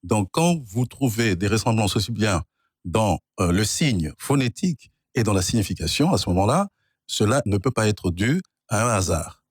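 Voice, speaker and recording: Un homme d'origine angolaise, de langue maternelle kikongo, qui explique la linguistique d'un ton posé et professoral. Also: male voice, fairly low pitch, lively intonation, breathy edge, no echo, clean studio sound. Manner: measured, professorial, spells out words. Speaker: guest, linguist and author. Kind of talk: explanatory interview answers.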